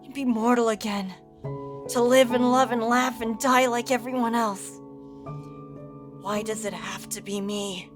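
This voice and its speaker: A woman's voice: fairly high pitch, steady tone, measured pace, long pauses, over music, natural voice. A woman playing an anguished, bitter immortal male guardian spirit in a dramatic fan audio drama. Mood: anguished, despairing. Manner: emotional, dramatic, pleading speeches.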